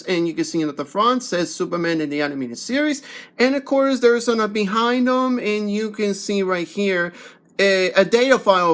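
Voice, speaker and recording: A man giving a casual, rambling unboxing review as a toy reviewer, with halting, repetitive phrasing. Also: high voice, fairly bright tone.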